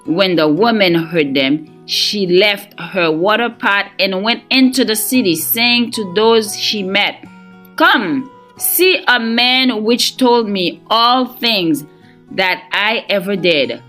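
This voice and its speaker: A woman reading a scripted devotional in a measured, earnest manner. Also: mid-range voice, expressive, fairly bright tone, soft background music. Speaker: devotional reader.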